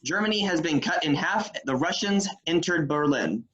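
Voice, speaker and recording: Man playing a higher-voiced 1940s war news announcer. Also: lively intonation, moderate pace, a bit bright, lo-fi sound. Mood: grave. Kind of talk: news reading in a play table read. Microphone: video call.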